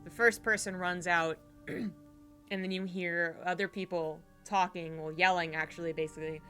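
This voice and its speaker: A woman's voice: lowish voice, steady tone, normal speed, a bit bright, soft background music. A woman narrating a tabletop horror game as game master, rambling with fillers and restarts.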